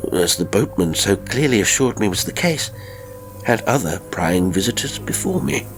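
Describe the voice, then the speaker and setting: A man narrating a literary story in a measured, formal and expressive storytelling manner. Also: sits low. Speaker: audiobook narrator.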